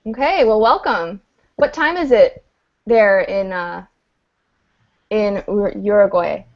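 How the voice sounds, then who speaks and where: A woman teaching a beginner English class online, speaking patiently and clearly with an encouraging manner. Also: medium pitch, slow pace. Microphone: video call. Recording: dull lo-fi video call audio.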